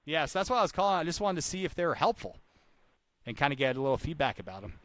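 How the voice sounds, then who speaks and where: A man's voice: fairly high pitch, big pitch swings, racing, a bit bright, dry recording. A man working as a sales caller and trainer, talking informally and chattily and repeating his points for emphasis during live calls. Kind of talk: live cold-call demonstration with coaching talk.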